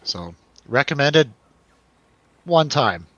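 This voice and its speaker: A man's voice: medium pitch, very animated, takes it slowly, fairly bright tone, highs cut off. A man hosting a casual movie review chat, joking and irreverent in the way he talks. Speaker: host of a movie review show.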